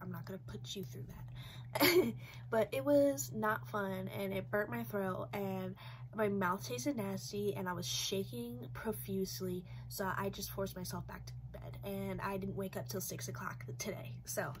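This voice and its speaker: A woman's voice: medium pitch, fairly even intonation, a little slow, slight background noise, rough recording. Stuffy-nosed young woman chatting casually. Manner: rambling, chatty, many "like"s and "um"s. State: stuffy, congested from a cold. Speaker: doll collector vlogger. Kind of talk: casual review chat. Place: small decorated bedroom, indoors.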